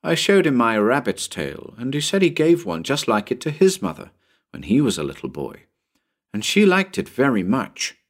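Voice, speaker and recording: A man's voice: medium pitch, huge pitch swings, moderate pace, voice pushed up. A man voicing an innocent, earnest little boy in a storybook, childlike and pleading. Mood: appealing.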